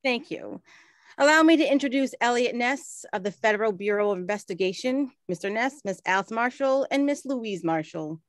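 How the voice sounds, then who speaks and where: A woman's voice: a little high, expressive, moderate pace, close to an everyday voice. A woman playing a polite, businesslike 1920s government professional in a radio-style play. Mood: cordial.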